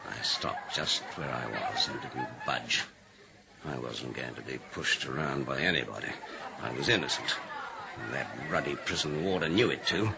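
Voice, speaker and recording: An actor in a radio drama playing a stubborn, gloating, middle-aged ex-convict with a gravelly voice and a grudge. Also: male voice, extremely low pitch, animated, normal speed, exaggerated voice. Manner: gloating, defiant. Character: vengeful, stubborn wrongly imprisoned ex-convict. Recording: noisy radio recording, crowd din behind.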